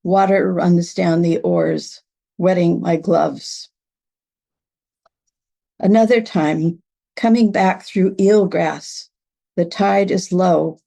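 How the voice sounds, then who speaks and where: An older woman, over sixty, gives a measured, deliberate reading of literary memoir prose. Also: lowish voice, creaky, mellow. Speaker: writer reading own work.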